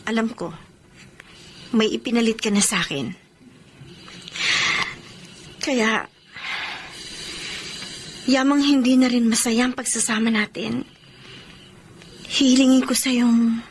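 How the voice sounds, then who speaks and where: A woman's voice: a little high, slow, long pauses, a little hiss or hum. An actress in a Filipino radio drama playing a devoted, wounded woman leaving her partner, in a heartfelt, melodramatic way. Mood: hurt, resolute. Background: background music.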